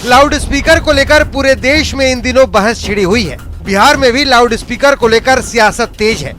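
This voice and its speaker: Guy doing news voiceover, really high forceful voice, emphatic, bit of music under it. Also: moderate pace. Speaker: TV news reporter. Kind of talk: scripted news narration, emphatic.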